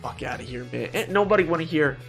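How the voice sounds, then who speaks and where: Slangy young man with a higher-pitched voice. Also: lively intonation, fast talker, vocal fry, soft background music. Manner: slangy, profane, opinionated, exclaiming. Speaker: gaming video host. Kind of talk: casual tier-list commentary.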